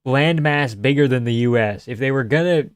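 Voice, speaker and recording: A young man from Canada streams live, riffing on viewer comments in a loose, sarcastic way with plenty of swearing. His voice comes through smooth and clear on a close mic in a dry, dead room. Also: mid-range voice, moderate pace, no echo. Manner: casual, sarcastic, swears freely.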